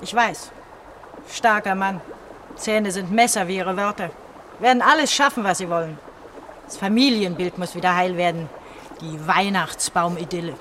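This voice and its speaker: A woman's voice: lowish voice, moderate pace, pauses often, fairly bright tone, a little hiss or hum, natural voice. An actress playing a frightened, bitter young woman on the run in a German radio play, emotional and accusing. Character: frightened, bitter young woman fleeing through dark underground tunnels.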